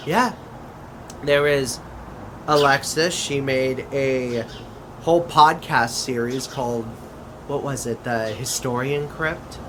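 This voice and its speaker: A man playing a casual, curious grown man in an amateur skit, talking conversationally and pausing mid-sentence. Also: mid-range voice, a bit bright. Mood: casual, reassuring. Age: adult. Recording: clearly noisy, constant background hum.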